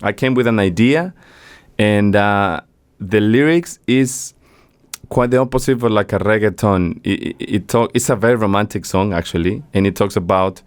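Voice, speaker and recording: A young man from Mexico, a native Spanish speaker, talks as a singer-songwriter guest in a radio interview. He has a fairly low voice and tells his stories in an animated way, often breaking into laughter. The sound is dry and close, with no room echo. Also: normal speed, smooth. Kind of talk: casual interview chat.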